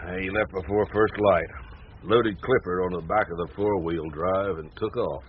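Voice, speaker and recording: An actor playing an easygoing, laconic ranch hand with a folksy drawl from the American West, in a radio drama. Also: male voice, low voice, steady tone, normal speed, close to an everyday voice. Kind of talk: naturalistic radio drama acting. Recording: tinny narrow-band radio sound, background noise.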